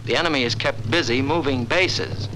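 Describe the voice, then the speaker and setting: A man's voice: mid-range voice, moderate pace, noisy background. A man speaking as a fighter pilot in scripted 1940s military training film narration, on an old film soundtrack. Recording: 1940s film soundtrack, loud music bed.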